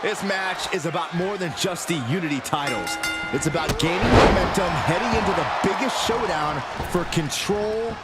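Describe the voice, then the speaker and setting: A man's voice: high voice, moderate pace, over a noisy crowd, very noisy, natural voice. A man playing an earnest wrestling commentator who analyses the match emphatically in a video game. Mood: earnest, building tension. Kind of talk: sports commentary. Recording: loud music behind the voice.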